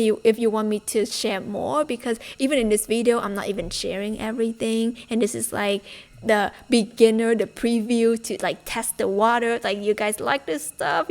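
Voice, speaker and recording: A woman giving an informal motivational talk to camera, conversational and emphatic, with rhetorical questions and asides. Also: on the high side. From Vietnam. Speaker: spiritual self-development video host.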